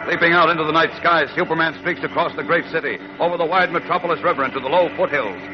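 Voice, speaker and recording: A man's voice: fairly high pitch, quick pace. An American man narrating a 1940s radio serial in a dramatic, declamatory way. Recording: muffled 1940s radio recording. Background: music.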